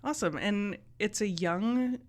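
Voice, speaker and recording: A woman's voice: medium pitch, measured pace. A woman hosting a radio interview, friendly, asking questions and answering with short agreements. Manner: friendly, asks questions with brief agreeing responses.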